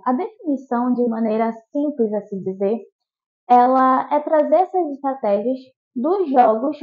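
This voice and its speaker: A woman hosting a podcast, politely introducing the guest and reading prepared segment introductions. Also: high-pitched. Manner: polite, formal address, reads prepared intros. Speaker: podcast host. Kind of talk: podcast interview. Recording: tinny phone-like line.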